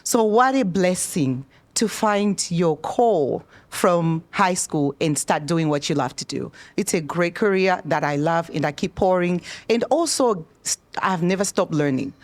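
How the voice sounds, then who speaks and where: Woman from zimbabwe on a panel mic, chatty and encouraging, kinda low voice. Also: lively intonation, a little slow, few pauses. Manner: warm, encouraging, chatty with restarts. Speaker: spa owner and esthetician on a panel. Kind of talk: panel talk to students. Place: event room. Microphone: handheld microphone.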